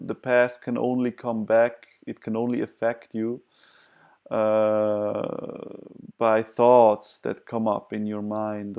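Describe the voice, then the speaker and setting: A man who is not a native English speaker gives unscripted personal advice in a hesitant, halting way, pausing and repeating words. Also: medium pitch, vocal fry, mellow. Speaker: video presenter sharing personal advice. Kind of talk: unscripted advice talk to camera.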